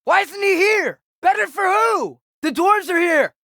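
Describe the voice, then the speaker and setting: A man's voice: extremely high pitch, a little slow, gravelly, sharp tone, no room sound, studio quality, heavily acted. A young male actor playing an earnest, restless teenage boy adventurer with an American accent in a video game. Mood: urgent, desperate. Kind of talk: video game character dialogue.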